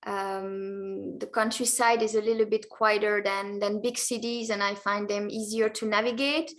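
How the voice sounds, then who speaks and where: A woman from Belgium, a guest in an interview, speaking hesitantly with many pauses and restarts. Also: medium pitch, little pitch movement. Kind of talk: interview answers.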